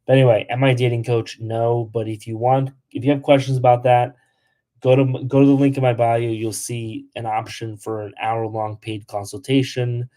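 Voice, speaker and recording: A man's voice: medium pitch, level tone, moderate pace, dark tone. A young man hosting a casual livestream, answering comments with lots of "like" and blunt asides. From Polish. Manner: chatty, lots of "like", blunt toward trolls. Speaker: travel livestream host.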